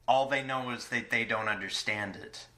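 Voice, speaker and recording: A man hosting a podcast, speaking haltingly and hesitantly in a personal, philosophical conversation. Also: medium pitch, pushed and bright. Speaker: podcast host, interviewer. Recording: echoey room sound.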